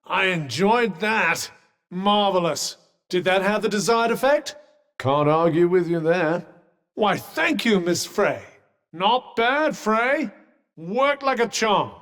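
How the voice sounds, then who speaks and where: Sardonic British magical cuff, heavily reverberant. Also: male voice, high voice, lively intonation, slow, fairly bright tone, full character voice. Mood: wry, polite. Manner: dry, sardonic, wordy and formal. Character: witty, haughty sentient magical cuff companion. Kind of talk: video game voice acting.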